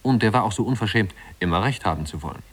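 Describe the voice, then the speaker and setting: A man narrating a literary tale in a 1950s radio drama, in a measured, wry storytelling manner. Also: fairly low pitch, animated, slightly rough.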